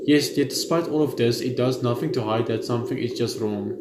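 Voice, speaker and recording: A young man hosting a gaming video, reading game text aloud haltingly with casual commentary. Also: medium pitch. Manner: halting when reading, stumbles over words. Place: indoor room at home. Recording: roomy echo, noticeable background noise.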